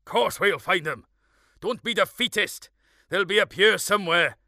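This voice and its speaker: Man acting a gruff hill-farmer father. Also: high voice, normal speed, pushed and bright, no echo, clean studio sound, pitched above the performer's own voice, full character voice. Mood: urgent, determined. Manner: gruff, blunt, colloquial. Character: gruff, determined Welsh hill-farmer father, middle-aged.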